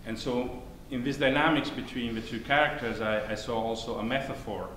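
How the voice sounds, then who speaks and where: A middle-aged Italian man with an Italian accent, talking thoughtfully on a theater stage in a halting way with many restarts. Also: medium pitch, steady tone. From Italy. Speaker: panelist, conflict negotiator. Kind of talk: onstage discussion. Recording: echoey stage with faint noise.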